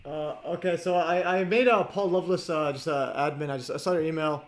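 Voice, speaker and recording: A man hosting a livestream, chatting casually with many fillers and pauses. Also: fairly high pitch. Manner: filler-heavy, chatty, laughs often. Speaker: host.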